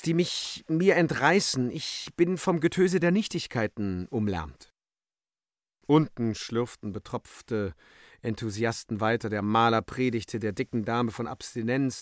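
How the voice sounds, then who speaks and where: A man narrating a literary radio drama in a theatrical way, moving between declamation and hushed, measured reading. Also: mid-range voice, dry recording, studio quality.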